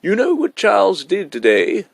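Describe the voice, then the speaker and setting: A cheeky kindergarten boy from a storybook, put on by a man, pitched well above the reader's own voice. He is sly and excitable, telling tales with emphasis in a dry, close sound. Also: moderate pace, creaky, no room sound, full character voice. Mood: awed, excited.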